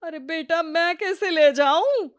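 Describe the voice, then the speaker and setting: Woman playing a slow, long-suffering older mother-in-law. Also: very high voice, no echo, studio quality. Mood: worried. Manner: patient, plaintive.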